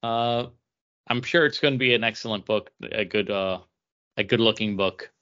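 A man co-hosting a comic book podcast in casual chat, with halting, stop-and-start phrasing. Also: on the low side, pushed and bright, smooth, lo-fi sound. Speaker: podcast co-host.

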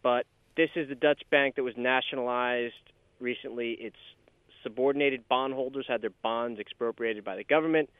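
A man's voice: medium pitch, moderate pace, smooth, dry recording. A man hosting a podcast, upbeat and teasing as he introduces a colleague. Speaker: podcast host. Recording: tinny phone-like line.

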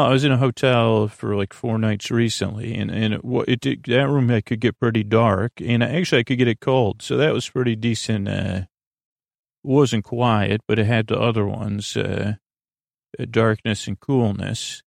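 Guy w a creaky gravelly voice doing a rambly bedtime podcast intro, halting, keeps drifting off on tangents, really dry sound. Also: lowish voice, steady tone, no room sound. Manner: meandering, halting, trails off into tangents. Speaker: podcast host.

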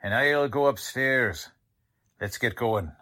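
A woman's voice: a bass voice, takes it slowly, fairly bright tone, exaggerated voice. A woman playing a confident, folksy male police lieutenant in a radio drama. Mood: brisk, commanding. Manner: folksy, ungrammatical, reassuring.

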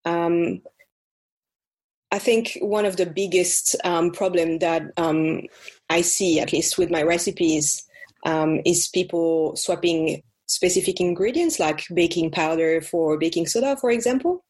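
A woman with a French accent, a baking blogger chatting as a podcast guest, pausing often with fillers. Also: on the low side, breathy edge. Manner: relaxed, frequent "um" fillers. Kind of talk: casual podcast interview.

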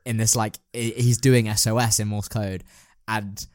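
A man's voice: on the low side, level tone, normal speed, a little mellow, dry recording, studio quality. A man talking casually and enthusiastically as a podcast host, retelling and discussing a radio drama.

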